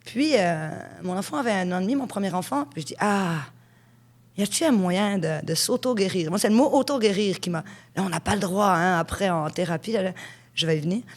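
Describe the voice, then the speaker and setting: A woman's voice: medium pitch, a little fast. A woman in her forties, originally from Switzerland, chatting frankly as an interview guest about her working life. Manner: chatty storyteller, frank and lively. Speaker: guest, hypnotherapist. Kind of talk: conversational interview.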